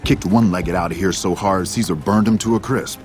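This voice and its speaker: A low-pitched male general from a video game, played by an actor, gruff and stubborn. He talks bluntly and combatively, swearing as he digs in, with music clearly behind him. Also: brisk, darkish tone, natural voice. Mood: angry, defiant. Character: gruff, defiant, foul-mouthed military general.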